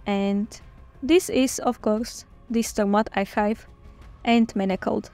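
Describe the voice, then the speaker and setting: Give me a woman chatting slowly and eagerly, with a gravelly edge to her voice. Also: medium pitch, steady tone, a little mellow, faint music behind. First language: Slovak. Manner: chatty, enthusiastic, with small restarts. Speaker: book vlogger. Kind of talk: casual reading-journal flip-through.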